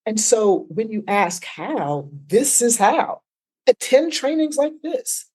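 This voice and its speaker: A woman's voice: on the high side, big pitch swings, takes it slowly, darkish tone, breathy edge. A woman therapist being interviewed, explaining points emphatically and often adding a tag question. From United States. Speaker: therapist guest.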